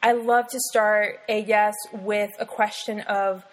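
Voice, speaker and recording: A woman's voice: mid-range voice, little pitch movement, slow, long reverb tail, low-quality recording. A woman hosting an interview podcast, enthusiastic, asking long, winding questions. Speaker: podcast host.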